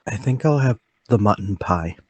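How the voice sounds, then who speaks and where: A man playing a troubled, forgetful older gentleman in a radio mystery drama, speaking politely and haltingly. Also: on the low side, animated, slightly rough. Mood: polite, probing. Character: troubled, forgetful, soft-spoken older gentleman, haunted and deliberate.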